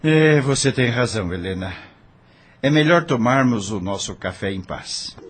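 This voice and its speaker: A man's voice: medium pitch, expressive, moderate pace, background noise, close to an everyday voice. A man playing a stern middle-aged father and doctor in an audio drama, questioning sharply. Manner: stern, interrogating. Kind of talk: radio drama acting.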